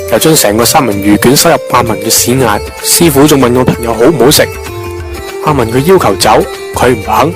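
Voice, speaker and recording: A man's voice: mid-range voice, natural voice. A man playing a wisecracking young joke-teller who rambles along in an amateur radio drama, with a Hong Kong Cantonese accent. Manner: rambling, jokey storytelling. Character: wisecracking young man, long-winded teller of absurd jokes.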